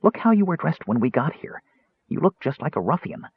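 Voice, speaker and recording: A man voicing a small, cheerful and impulsive kender who chatters eagerly in a high voice. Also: wildly swooping pitch, breathy edge, dry recording, full character voice. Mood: conspiratorial. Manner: high, bubbly, rapid and eager. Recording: dry tinny phone-like sound.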